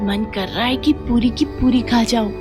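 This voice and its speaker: An eager little twin girl of eight, put on by a woman, chatters in a high, childlike voice. She is bossy and excitable, in storybook style with music behind her. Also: moderate pace, lightly acted. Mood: eager, craving. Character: excitable eight-year-old twin girl craving sweets.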